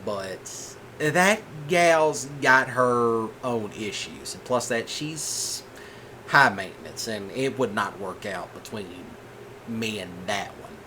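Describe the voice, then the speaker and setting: A man voicing a calm, patient rancher boyfriend in a soft-spoken ASMR roleplay, folksy and reassuring. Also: lowish voice, slow pace, a little hiss or hum, heavily acted.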